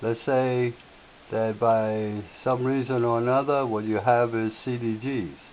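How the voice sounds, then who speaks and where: A man with a slightly raspy voice, hosting a software tutorial and explaining each step in an unhurried way with filler words. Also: mid-range voice, steady tone. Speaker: tutorial host. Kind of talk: screen-share software tutorial. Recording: tinny lo-fi audio with faint hiss.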